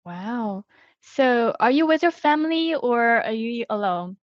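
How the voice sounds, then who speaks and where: Podcast host: woman, high-pitched, short plain questions, dry and slightly muffled remote-call sound. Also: expressive, a little slow, dry recording. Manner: brief, simple prompting questions. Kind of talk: interview questions. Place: Cambridge, UK. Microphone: remote call recording.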